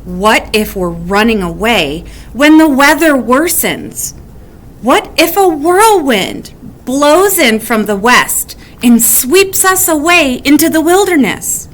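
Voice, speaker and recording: A woman's voice: high-pitched, sing-song, slow pace, fairly bright tone, pitched above the performer's own voice, heavily acted. A woman voicing an anxious male weasel in a children's storybook, wailing dramatically as he imagines disasters. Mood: anxious alarm.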